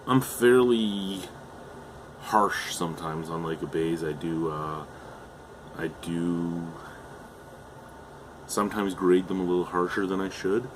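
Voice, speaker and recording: A man's voice: sits low, slow pace, long pauses, noisy background. A young man from Canada reviewing a beer in a casual, chatty way, losing his voice and often restarting his sentences with fillers.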